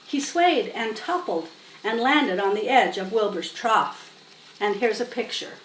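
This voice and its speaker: A woman's voice: fairly high pitch, animated, measured pace. A woman narrating a children's story expressively as a storybook reader. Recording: roomy, distant mic, faint noise.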